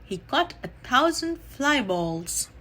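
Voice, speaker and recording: A woman reading a children's story aloud in an animated, deliberate storytelling manner. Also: sits high. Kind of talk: expressive read-aloud storytime narration.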